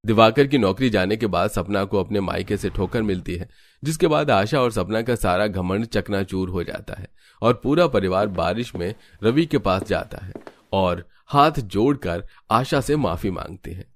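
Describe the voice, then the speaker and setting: A man's voice: on the low side, lively intonation, normal speed, a little hiss or hum. A man narrating a scripted cartoon family story.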